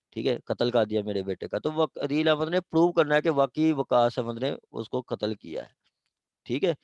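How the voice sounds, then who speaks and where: A man's voice: mid-range voice, darkish tone, dry recording, muffled recording. A man with a Pakistani accent giving an informal law lecture with examples, explaining step by step, often checking understanding and switching into English legal terms.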